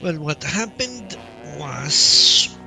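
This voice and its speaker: A man with a slightly high voice talks casually as he streams a game. His delivery is slow and halting, rambling through a story. Game music plays clearly behind him. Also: sing-song, sharp tone. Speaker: game livestreamer. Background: video game music.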